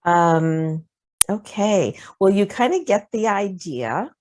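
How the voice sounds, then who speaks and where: A woman presenting her framework and leading an online workshop discussion, talking in a relaxed, conversational way with many fillers. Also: mid-range voice, slow pace, slight background noise. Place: video call.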